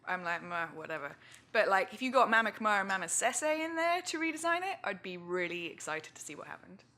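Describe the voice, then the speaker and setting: A woman's voice: mid-range voice, fast. A woman researcher speaking informally and self-deprecatingly as she answers questions after a talk. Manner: informal, self-deprecating, animated slang phrasing. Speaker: guest speaker, museum education researcher. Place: indoor talk venue with audience.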